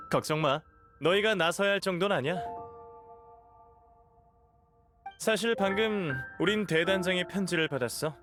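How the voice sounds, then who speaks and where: Game role: young knight captain played by a man, lightly pitched, smooth-talking, playful and breezy, over a musical bed. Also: close to an everyday voice. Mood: breezy, reassuring. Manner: breezy, teasing, unhurried. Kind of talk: animated game dialogue acting.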